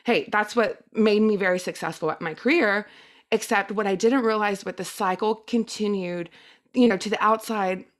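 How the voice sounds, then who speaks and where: A woman's voice: mid-range voice, moderate pace. A middle-aged woman whose first language is Spanish, giving a personal testimonial talk as a guest speaker in an earnest, emphatic manner.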